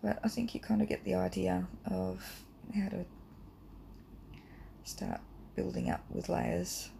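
Croaky middle-aged woman, gravelly fry, lively pitch, drawing tutorial voice-over. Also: unhurried, a little hiss or hum, poor audio. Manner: relaxed and rambling, many ums. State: croaky, hay fever. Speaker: art teacher.

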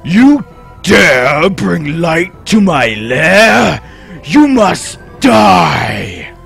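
A man's voice: sits high, sing-song, very slow, gravelly, fairly bright tone, husky, faint music behind, exaggerated voice. A man voicing a bitter, melodramatic giant tree with a commanding manner in an abridged cartoon parody. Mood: menacing, bitter. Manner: theatrical, commanding.

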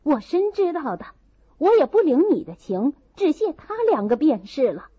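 Woman voicing a high-pitched, eager young nobleman. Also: moderate pace. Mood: eager, coaxing. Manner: flattering, exclamatory. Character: playful, eager, good-natured teenage boy aristocrat. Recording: slightly dull, muffled recording.

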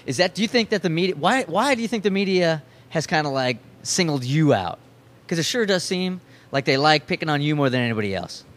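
Man, radio host, fast, slightly high voice, faint crowd hum. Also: animated, a bit bright. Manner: joking, quick questions. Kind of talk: casual radio interview chat. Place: bowling alley event space, indoors. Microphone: handheld microphone.

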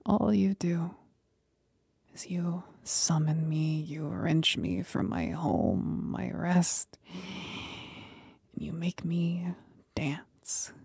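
A woman playing a seductive, mocking demon companion in a whispered ASMR roleplay, speaking breathily with deliberate pauses. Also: lowish voice, animated, gravelly, warm tone, breathy edge, no room sound, heavily acted. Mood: scornful.

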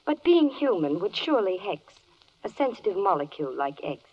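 Charming female advocate, poised, animated lyrical recitation, 1940s radio drama, muffled vintage audio. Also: medium pitch, fairly quick, slightly rough. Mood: wry, reciting. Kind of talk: 1940s radio verse-play acting.

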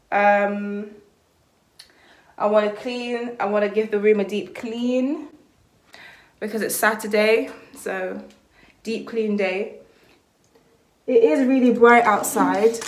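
A young woman vlogging at home, chatting casually to the camera in a rambling way that often hedges and corrects itself. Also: fairly high pitch. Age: young adult. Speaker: lifestyle vlogger. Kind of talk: casual vlog chat to camera. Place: small rooms at home, bedroom and kitchen. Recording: roomy echo of a small room.

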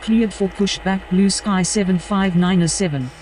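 A woman's voice: medium pitch, moderate pace, very noisy, close to an everyday voice. A woman playing an airline pilot in a video game, reciting clipped scripted radio phraseology. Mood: businesslike. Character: airline pilot radioing air traffic control.

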